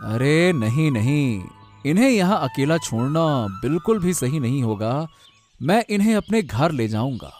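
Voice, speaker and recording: A man's voice: fairly high pitch, sing-song, a little slow, darkish tone, faint music behind. A man voicing a kind, anxious, protective family friend in a cartoon story, with a stammering, soothing manner. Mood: consoling. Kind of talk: Hindi cartoon story voice acting.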